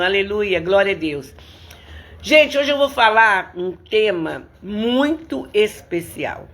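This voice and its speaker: Hoarse Brazilian woman pastor. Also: mid-range voice. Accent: Brazilian Portuguese. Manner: fervent, warm greetings by name, frequent "né". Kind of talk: livestreamed sermon and prayer, greeting viewers.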